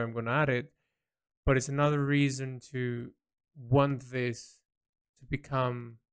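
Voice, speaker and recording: Gravelly, even-toned man thinking aloud. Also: mid-range voice. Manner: halting, earnest, searching for words. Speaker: artist keeping an audio log. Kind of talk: reflective spoken diary monologue.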